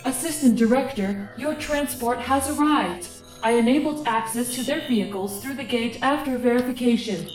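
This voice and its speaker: A literal-minded female software assistant voiced by an actress from a sci-fi radio play. She speaks in a formal, exact, deadpan manner, slightly high. The sound is roomy, with clear music underneath. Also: normal speed, far from the mic, lightly acted. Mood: formal, neutral. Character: literal-minded female computer assistant managing a home and office. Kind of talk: audio drama acting.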